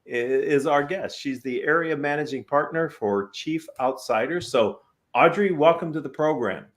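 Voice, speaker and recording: A man's voice: fairly high pitch, moderate pace. An older man hosting a business talk show over a video call, jovial and showman-like.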